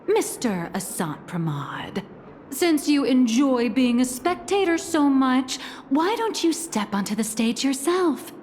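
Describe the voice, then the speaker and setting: A woman voicing a shrewd, decisive young agent in a game, cool and measured in manner. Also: sits high, sing-song, a little mellow, a little hiss or hum, full character voice. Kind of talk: game voice acting.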